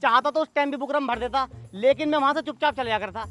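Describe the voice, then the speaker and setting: Man playing sharp, very high-voiced village henchman. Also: fast, soft background music. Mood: pleading. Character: hot-headed, boastful henchman of a village candidate. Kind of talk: Hindi village comedy sketch acting.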